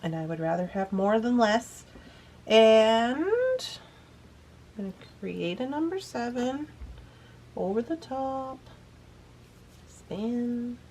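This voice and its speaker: A woman's voice: a little high, lively intonation, takes it slowly, long pauses, darkish tone, a little hiss or hum. A woman hosting a beading tutorial, explaining each step in a chatty, easygoing way while she works. She is a bit sniffly. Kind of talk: casual step-by-step craft demonstration.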